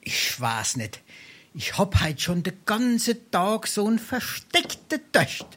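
A boozy, roguish scrounger in a dialect stage farce, with a thick Darmstadt Hessian accent. He lectures and wheedles in florid, pompous declamation, like a shabby gentleman holding court. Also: sits high, sing-song, slow, slight background noise, exaggerated voice. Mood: boastful, lecturing. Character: roguish, boozy, grandiloquent male scrounger coaching his friend. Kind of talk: theatrical dialect farce acting.

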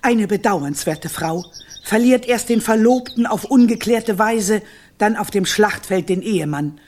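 An actress playing a stern, domineering old grandmother witch who rules a kingdom, speaking in curt, husky commands in a children's radio play. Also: mid-range voice, measured pace, darkish tone, lightly acted. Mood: imperious.

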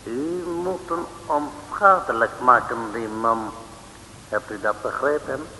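An echoey, faintly hissy vintage radio recording holds a secretive foreign gang boss. His accented voice is slow and deliberate, issuing stern, formal commands in broken grammar. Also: mid-range voice, pushed and bright. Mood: commanding, deliberate. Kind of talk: 1960s Dutch radio serial acting. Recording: echoey, reverberant old radio recording, hiss.

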